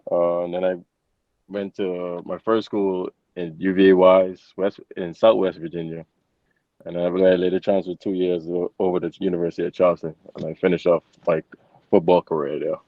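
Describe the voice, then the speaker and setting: Low-pitched young man, slightly muffled. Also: flat delivery, normal speed, no echo. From Bahamas. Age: 20s. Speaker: interview guest, college football player. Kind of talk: casual interview answers. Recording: dull lo-fi close microphone.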